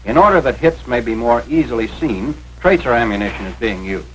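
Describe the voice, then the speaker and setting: A man's voice: mid-range voice, animated, fairly quick, a bit bright. An American man delivering authoritative, instructional 1940s military training film narration in formal, clipped phrasing. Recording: noisy vintage 1940s film soundtrack.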